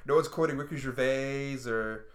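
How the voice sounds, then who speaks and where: A man, a comedian co-hosting a casual chat, blunt and teasing with dark jokes. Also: mid-range voice, moderate pace, a crowd in the background. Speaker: comedian and podcast co-host.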